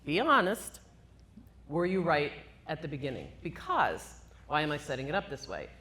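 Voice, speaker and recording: A woman with a loud, deep-pitched voice explains medical terms to a room full of people. She speaks into a handheld microphone and drives her points home with questions to the audience. Her delivery is emphatic and engaging. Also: wildly swooping pitch, normal speed. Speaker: cognitive neurologist guest. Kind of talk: live talk to an audience. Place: event room with live audience.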